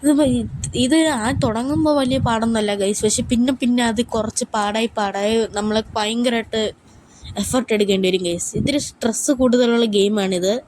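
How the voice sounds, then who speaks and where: A woman's voice: a little high, fairly even intonation, brisk, darkish tone. Woman gaming commentator with noisy background. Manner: informal, repeatedly addressing viewers. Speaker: gaming YouTuber. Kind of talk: casual game showcase commentary.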